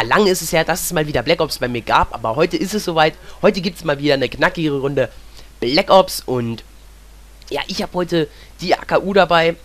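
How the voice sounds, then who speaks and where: A man's voice: a little high, a bit bright. A young man with a cold, talking casually and rambling as he comments on gameplay. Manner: chatty, rambling, fills with "ja". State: has a cold. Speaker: gaming commentator.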